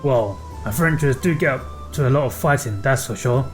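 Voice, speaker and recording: A man's voice: medium pitch, moderate pace, faint music behind, natural voice. A man voicing a folksy, worldly seafaring merchant from a fantasy game, with salty, colloquial talk. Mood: folksy, advising. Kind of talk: reading game dialogue aloud.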